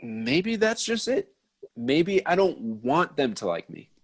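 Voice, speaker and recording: A man reading a lively young guy at a party. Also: fairly high pitch, slow, exaggerated voice. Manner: animated, exasperated. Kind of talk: amateur table read.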